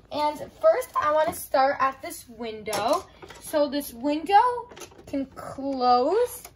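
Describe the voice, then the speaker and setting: High-voiced young woman reviewing toys. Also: a little hiss or hum. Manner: enthusiastic, simple explaining with frequent "like" and "I love". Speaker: doll toy reviewer. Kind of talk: casual toy review vlog. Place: small room at home.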